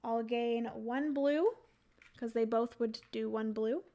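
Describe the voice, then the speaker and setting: A young woman on a livestream, talking through her moves in a solo board game and chatting with viewers, her voice going a little hoarse. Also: fairly high pitch, takes it slowly, dark tone. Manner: chatty, thinks aloud, greets chat viewers. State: losing her voice. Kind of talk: casual livestream play-by-play.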